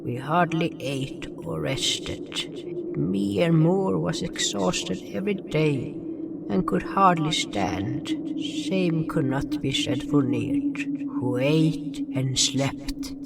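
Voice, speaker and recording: A man's voice: mid-range voice, creaky, heavy background noise. A man narrating a scripted first-person fantasy tale as a solemn storyteller. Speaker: narrator and writer. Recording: distant voice, music underneath.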